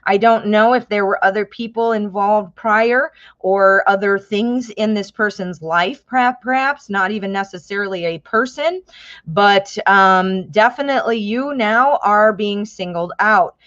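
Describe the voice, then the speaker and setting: A woman's voice: mid-range voice, unhurried, a bit bright. An American woman reads tarot cards live for her viewers, chatting easily and dropping endearments as she goes. She bursts into exclamations when a card surprises her. The stream audio is a little dull and lo-fi. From United States.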